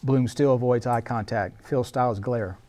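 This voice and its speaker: A man's voice: medium pitch, fairly even intonation, normal speed, a little mellow. A man reading scene descriptions and stage directions as the narrator of a screenplay table read.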